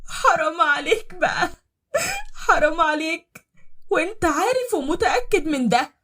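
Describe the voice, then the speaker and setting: A woman's voice: very high voice, lively intonation, moderate pace, sharp tone, voice pushed up, exaggerated voice. A woman with an Egyptian accent voicing a beaten young wife who cries and pleads desperately. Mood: pleading, crying. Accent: Egyptian Arabic. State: crying.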